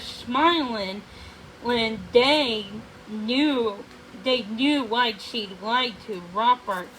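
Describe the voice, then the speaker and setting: A woman narrating a storybook aloud, haltingly, often stumbling and going back over phrases. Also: fairly high pitch, a bit bright, a little hiss or hum, low-quality recording.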